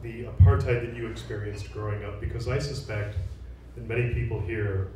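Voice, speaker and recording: A man's voice: medium pitch, steady tone, moderate pace, warm tone, a little hiss or hum. A middle-aged man hosting an interview, reading courteous, formal questions from cards. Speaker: interviewer and host. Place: large echoey room, seated on stage. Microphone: handheld mic. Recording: roomy hall sound, mic picked up from a distance.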